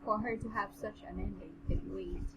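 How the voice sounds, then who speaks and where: A woman's voice: medium pitch, steady tone, moderate pace. Woman reading aloud, very noisy recording. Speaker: co-host reading a novel aloud. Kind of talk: amateur audiobook reading with casual commentary.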